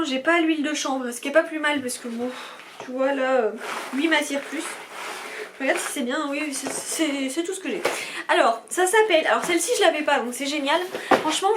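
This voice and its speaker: A woman's voice: sits high, a little hiss or hum. A woman, chatting casually and enthusiastically to viewers as a beauty vlogger. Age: 20s. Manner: bubbly, enthusiastic. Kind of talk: casual unboxing chat to viewers.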